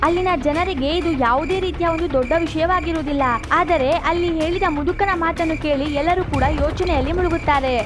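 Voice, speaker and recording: A woman's voice: extremely high pitch, a little fast, hardly any pauses. A woman reading a scripted moral story as a storyteller, with music behind her. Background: background music.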